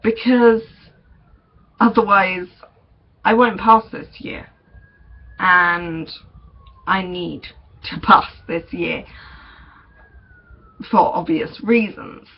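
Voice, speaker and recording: Slow, gravelly young man. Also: high-pitched, fairly even intonation. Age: young adult. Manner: chatty, hesitant, many ums and small laughs. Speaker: vlogger. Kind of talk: casual vlog update. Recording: dull lo-fi recording with faint noise.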